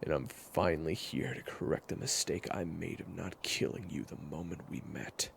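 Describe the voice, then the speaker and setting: Man playing a very deep, menacing vengeful gunslinger. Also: normal speed, creaky, a little hiss or hum.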